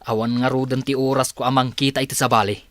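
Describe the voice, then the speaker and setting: An actor playing an arrogant rich company boss with a scolding, imperious manner in a Philippine radio drama. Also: male voice, mid-range voice, little pitch movement, fast, a bit bright, no echo, lightly acted. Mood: irritated. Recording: music bed under radio drama.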